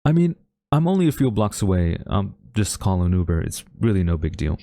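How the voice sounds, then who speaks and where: Deep-voiced, grumbling tsundere college guy played by a man. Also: very animated, mellow, dry recording, clean studio sound. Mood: hesitant, nervous. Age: young adult. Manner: flustered, grumbling, halting denials. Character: tsundere young male university student rival, defensive, secretly smitten. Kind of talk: romantic audio roleplay acting.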